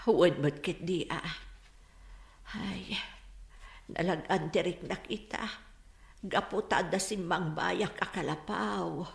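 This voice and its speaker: A woman's voice: lowish voice, slow pace, creaky, pauses often. A woman acting a grieving older village mother in a radio drama, speaking in a plaintive, lamenting way. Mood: grateful, sorrowful. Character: grieving older village mother who lost her hut. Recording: roomy radio studio with music behind.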